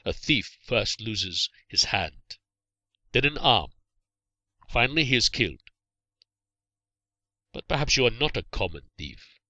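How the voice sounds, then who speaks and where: Guy doing a storybook saracen warrior, stern but merciful, formal and measured, very sharp forceful voice, dry sound. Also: fairly low pitch, sing-song, dry recording, exaggerated voice. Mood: menacing.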